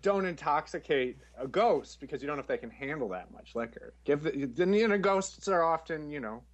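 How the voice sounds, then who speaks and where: A man calling in to a show, giving playful mock-serious ghost advice with drawn-out emphatic lists. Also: on the high side, animated, lo-fi sound. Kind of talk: jokey mock-earnest advice.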